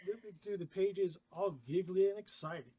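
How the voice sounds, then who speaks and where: High-voiced man reading stage directions. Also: steady tone, normal speed, dark tone. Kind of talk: scripted narration at a casual table read.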